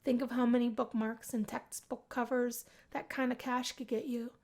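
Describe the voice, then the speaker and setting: A cocky, friendly young street musician from a visual novel, acted out by a woman. He talks casually and coaxes like a smooth operator. He sounds easygoing and sure of himself. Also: on the high side, level tone, moderate pace, darkish tone. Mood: coaxing, casual. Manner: casual, coaxing, smooth-talking. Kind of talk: visual novel character voicing.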